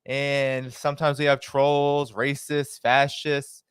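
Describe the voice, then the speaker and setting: A man hosting his own live stream, chatting casually, greeting viewers by name and filling pauses with "uh" and "um.". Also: medium pitch, level tone, fairly bright tone, smooth, no echo, studio quality. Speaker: livestream host. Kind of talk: casual livestream chat.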